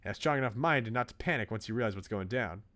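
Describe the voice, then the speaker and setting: A man voicing a blunt, protective, teasing young woman coach in a visual novel, in a brisk, informal pep-talk manner. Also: lowish voice, expressive, sharp tone. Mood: earnest, determined.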